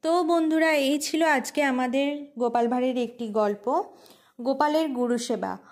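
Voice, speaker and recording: High-pitched woman narrating a story. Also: normal speed. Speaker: storyteller and channel host.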